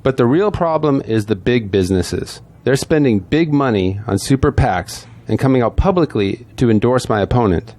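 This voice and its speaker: Guy acting a weary veteran politician, very animated pitch, soft dark tone, audio drama. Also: moderate pace, hardly any pauses, lightly acted. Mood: frustrated. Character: beleaguered male veteran politician, pragmatic and weary.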